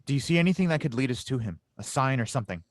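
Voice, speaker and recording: A man's voice: medium pitch, lively intonation, racing, dry recording, close to an everyday voice. A man playing an earnest, troubled traveler on a quest in an audio drama table read, serious and guarded.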